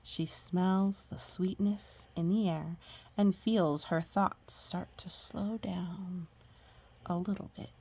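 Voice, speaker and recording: Husky, slow-talking woman reading a storybook. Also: fairly low pitch, steady tone. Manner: conversational, encouraging, explains gently with murmured agreement. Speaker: storytime host reading a picture book aloud. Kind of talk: read-aloud storytelling with chatty asides to young children.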